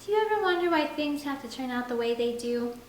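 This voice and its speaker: A woman voicing a gentle, devout teenage girl in a novel, earnest and questioning. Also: sits high, animated, fast, voice pushed up. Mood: earnest, pensive. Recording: roomy echo with faint background noise.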